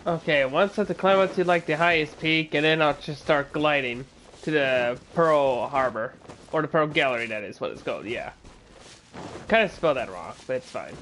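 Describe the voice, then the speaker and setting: A man streaming a game live, chatting casually with viewers in a halting way with many filler words. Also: on the high side, fairly bright tone, a little hiss or hum. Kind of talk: casual live gameplay commentary.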